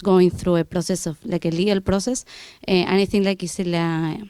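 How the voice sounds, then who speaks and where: A woman from Argentina speaks up from the audience in a lowish, clear voice. She searches for words and fills gaps with "uh" and "like." The sound is dry. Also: fairly even intonation, fairly quick, mellow, no echo. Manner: searching for words, many fillers. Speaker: audience member. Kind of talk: spontaneous comment.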